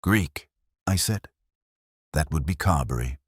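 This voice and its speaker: Brief: male narrator for detective drama, extremely deep, measured and literary, lively in inflection. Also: breathy edge, no room sound, studio quality. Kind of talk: scripted first-person detective narration.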